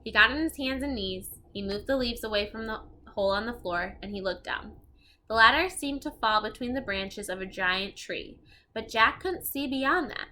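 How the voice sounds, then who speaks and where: A woman reading a children's storybook aloud, expressive and explaining things to young listeners as she goes. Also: fairly high pitch, moderate pace. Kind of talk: read-aloud narration with asides to young listeners.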